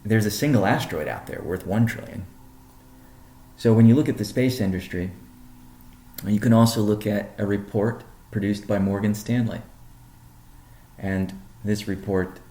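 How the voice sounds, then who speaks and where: A man gives a prepared corporate update in measured phrases. His voice is dark and relaxed, with a heavy gravelly creak. The sound is noticeably roomy and echoing, and he lands key words deliberately. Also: on the low side, slight background noise. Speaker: company CEO presenting. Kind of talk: prepared business presentation on a conference call.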